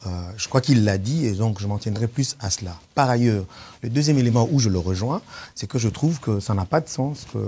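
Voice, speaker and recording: A man's voice: mid-range voice, a little mellow, breathy edge. A man of Congolese origin, a political candidate, arguing his case in a debate, insistent and emphatic, often repeating words. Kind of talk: debate on a web TV show.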